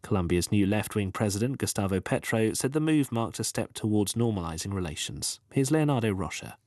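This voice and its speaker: A man's voice: fairly low pitch, expressive, fairly quick, a little mellow, dry recording, studio quality. A man presenting a news bulletin, reading from a script.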